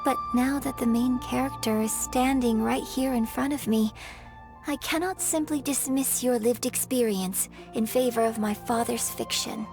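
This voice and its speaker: A woman voicing a refined, earnest young opera performer in a video game, formal and gentle. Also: on the high side, normal speed, music in the background, lightly acted. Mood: earnest, apologetic.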